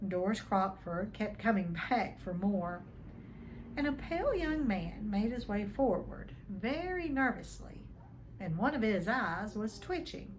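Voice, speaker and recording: A woman reading a children's novel aloud as an expressive storyteller. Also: mid-range voice, measured pace, a little hiss or hum, poor audio.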